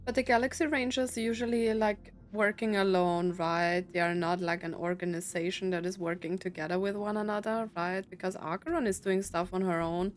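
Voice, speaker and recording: A woman's voice: mid-range voice, steady tone, moderate pace, hardly any pauses. A young woman gamer giving casual commentary as she plays through a story-driven game. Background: game music.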